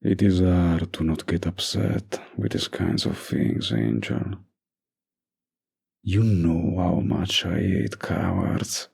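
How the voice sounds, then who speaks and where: Casting: male actor for a young mob boss boyfriend in romantic audio roleplay; raspy, unhurried drawl, menace mixed with tenderness. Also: low voice, sing-song, darkish tone, exaggerated voice. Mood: irritated, contemptuous. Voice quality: gravelly, husky. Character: dominant young mafia boss boyfriend, menacing yet doting.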